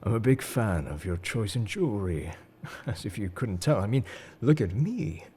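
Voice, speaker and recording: A man playing a suave, enigmatic, quietly world-weary secret agent in an intimate, flirtatious audio roleplay, speaking to the listener in a coaxing, theatrical way. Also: lowish voice, big pitch swings, moderate pace. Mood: suave, confiding. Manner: smooth-talking, coaxing, theatrical. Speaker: voice actor in fan audio roleplay.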